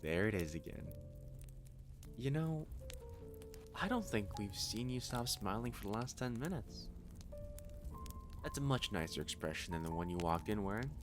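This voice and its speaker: A man as a low-voiced, playfully doting catboy waiter. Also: sing-song, normal speed, long pauses, music in the background. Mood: tender, pleased.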